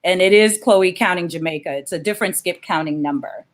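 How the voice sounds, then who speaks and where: A woman's voice: fairly low pitch, fairly quick. A woman, a children's author, reading aloud and explaining things as an interview guest.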